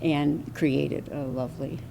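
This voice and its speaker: An older woman answering interview questions in a reflective, halting way. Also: deep voice, fairly even intonation, creaky, background noise. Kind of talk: unscripted personal interview.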